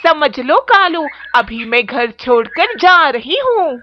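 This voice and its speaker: Woman as a very high-pitched, scolding crow wife. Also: sing-song, takes it slowly, exaggerated voice. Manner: nagging, reproachful. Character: scolding, demanding female cartoon crow wife. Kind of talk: children's cartoon story dialogue. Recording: muffled lo-fi with faint noise.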